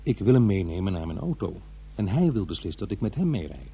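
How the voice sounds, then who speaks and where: A man's voice: low voice, sing-song, brisk, mellow, husky, heavily acted. An actor playing a middle-aged divorced father who muses wryly over his memories in a radio drama. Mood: musing, wry. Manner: musing, hesitant, talking to himself. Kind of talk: radio drama monologue, conversational and introspective.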